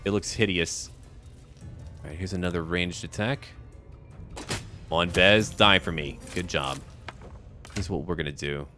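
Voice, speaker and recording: A man's voice: low-pitched, a little slow, pauses often, sharp tone, faint music behind. A man giving casual let's-play commentary, thinking aloud and chatting to the characters on screen as he plays. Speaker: gaming video host playing and narrating.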